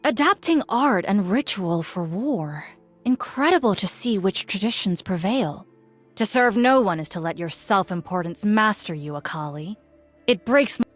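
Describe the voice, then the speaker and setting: An actress playing a solemn, wise warrior woman in a video game, speaking in grave, formal, measured declarations. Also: medium pitch, lively intonation, soft background music, close to an everyday voice. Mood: solemn, admonishing. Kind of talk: video game character voice lines.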